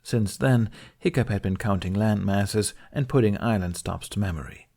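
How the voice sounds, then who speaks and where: A man's voice: on the low side, normal speed, vocal fry, dark tone. A man reading a story aloud as an audiobook narrator in a scripted, storytelling style.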